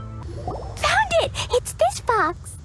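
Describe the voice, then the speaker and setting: Squeaky, innocent little girl. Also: very animated, very slow, over music, heavily acted. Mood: excited. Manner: childish, simple, earnest. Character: innocent, excitable little girl, eager and guileless. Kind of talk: anime fantasy game voice acting.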